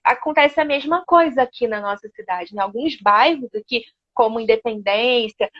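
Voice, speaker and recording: A woman with a somewhat high voice talks reflectively, often pausing on fillers as she works out her point. The sound is dull and lo-fi. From Brazil. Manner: reflective, hesitant with frequent fillers. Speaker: interviewer. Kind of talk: conversational interview.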